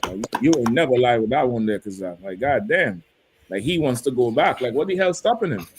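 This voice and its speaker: A man's voice: mid-range voice, expressive, brisk. A man on an online panel discussion, reacting in an incredulous, loose, slangy way from away from his mic. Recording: clicking noise, voice off-mic.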